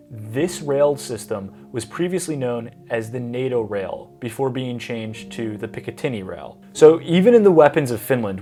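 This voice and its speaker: A man's voice: mid-range voice, a little mellow. A young man giving a scripted commentary vlog with wry, sarcastic asides. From United States. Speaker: vlogger.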